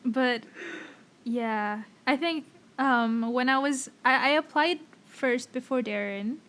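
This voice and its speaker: A woman's voice: sits high, slow, a bit bright. A young woman co-hosting a casual podcast chat, her voice a bit worn from singing, who says "like" a lot. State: losing her voice. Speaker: podcast co-host.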